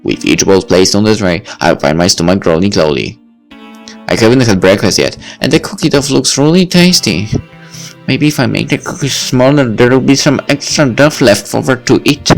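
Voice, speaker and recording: A man's voice: medium pitch, expressive, normal speed, creaky, soft background music, low-quality recording. A young man reading a visual novel aloud, adding wry, chatty asides. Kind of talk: reading aloud with off-the-cuff comments.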